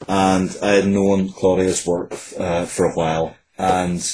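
A man talks as a writer being interviewed. His voice is deep and dark, and he explains things in an earnest, wordy way with hesitant phrasing. There is slight noise on the recording. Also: low-quality recording.

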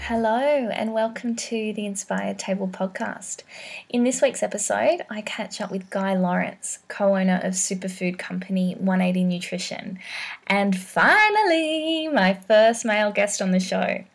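A woman's voice: mid-range voice, measured pace, vocal fry. A woman hosting a podcast, reading an enthusiastic scripted intro. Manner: upbeat, enthusiastic. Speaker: podcast host.

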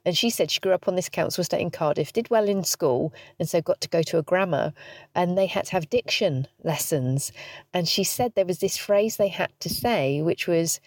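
Woman host, slightly low voice, a bit stuffy from hay fever, cheeky self-mocking chat, dry close recording. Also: moderate pace, dry recording. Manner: chatty, cheeky and self-deprecating, laughing often. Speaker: podcast host. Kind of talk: casual solo podcast chat. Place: parked car.

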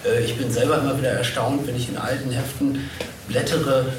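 A man's voice: mid-range voice, fairly even intonation, fairly quick, noisy background. Man hosting, distant in an echoey room. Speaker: podcast host and interviewer. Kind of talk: live panel interview talk.

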